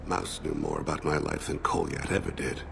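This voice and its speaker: A solemn alien assassin from a game, extremely deep and thick with gravel. His words come measured and formal, almost poetic. Noise sits behind him. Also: fairly even intonation, full character voice. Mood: wistful, haunted. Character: soft-spoken, solemn male alien assassin, remorseful father. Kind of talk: video game voice acting.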